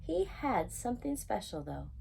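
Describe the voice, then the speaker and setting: A woman reading a picture book aloud in an expressive, animated storytime style, acting out the characters. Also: fairly high pitch, normal speed, gravelly, a little hiss or hum, poor audio. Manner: animated, acts out characters' lines.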